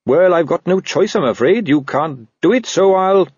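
A man's voice: high-pitched, lively intonation, moderate pace, a little mellow, breathy edge, no room sound, dull audio, voice pushed up. Man playing an earnest, slyly persuasive policeman. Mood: mild, coaxing. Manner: mild, reasonable, disingenuously innocent.

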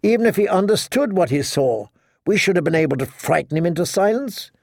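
A man voicing a fierce, anxious highborn woman who berates urgently, in an audiobook. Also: moderate pace, darkish tone, no room sound, clean studio sound. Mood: angry, urgent.

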